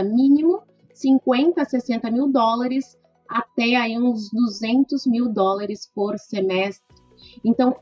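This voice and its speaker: A middle-aged woman with a gaúcho accent answers an interview question in a measured, explanatory way, tagging her sentences with "né". Her voice sits a little high and the sound is dry and close. The recording is slightly dull, with faint music in the background. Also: darkish tone, dry recording. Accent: gaúcho Brazilian Portuguese. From southern Brazil (Rio Grande do Sul). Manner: measured, lists points with frequent "né". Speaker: lawyer guest.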